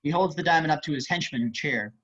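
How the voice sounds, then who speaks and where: A man's voice: fairly high pitch, quick pace, fairly bright tone. A man reading stage and screen directions aloud as scripted narration. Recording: muffled online call audio.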